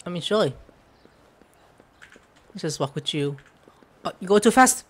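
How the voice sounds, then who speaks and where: A man's voice: sits high, animated, normal speed, a bit bright. A young man giving casual, jokey, rambling commentary as he plays a video game. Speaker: gaming streamer. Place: indoor room at home.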